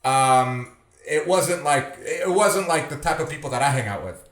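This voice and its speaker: A man's voice: fairly high pitch, expressive, moderate pace, a bit bright, reverberant. A man in his thirties, born in Colombia and raised in California, chatting casually on a podcast with lots of restarts and fillers. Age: 30s. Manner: rambling, many restarts and fillers. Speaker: podcast co-host, here as guest. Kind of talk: casual chat.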